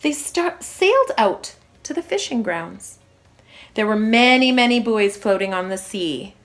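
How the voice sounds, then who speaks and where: A woman reads a storybook to young children in the manner of a primary school teacher. Her pitch moves in a lively way, and she leans on key words with expressive emphasis. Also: unhurried. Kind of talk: children's storybook read-aloud.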